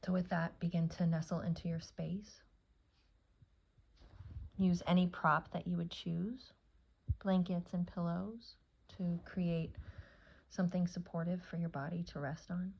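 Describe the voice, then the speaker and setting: A woman with a low, gravelly voice leads a guided meditation. She speaks soothingly in short phrases, leaving long pauses between them. The recording sounds slightly dull and muffled. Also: level tone. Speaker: yoga nidra teacher and meditation guide.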